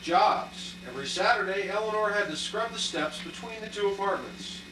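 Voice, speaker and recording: A man's voice: sits high, animated, moderate pace, reverberant. A man reading scripted scene narration for an amateur puppet show, recorded from a distance in a noisy room.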